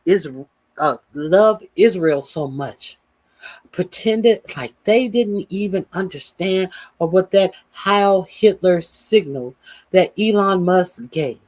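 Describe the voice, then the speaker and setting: A man hosting a political commentary monologue, speaking haltingly with many "uh"s and a tone of exasperated disbelief. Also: high voice.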